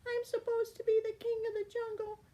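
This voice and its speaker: A grandmother voicing a male lion turned into a frightened little cat, whining in a high mewing voice. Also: monotone, a little fast, dark tone, low-quality recording. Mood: whiny.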